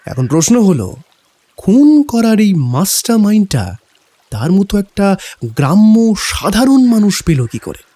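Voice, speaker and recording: A man's voice: high-pitched, very animated, normal speed, dark tone. A man playing a grave, knowledgeable explainer of village folk belief in a horror audio drama, speaking emphatically. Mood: firm, probing. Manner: explanatory, emphatic, rhetorical questions.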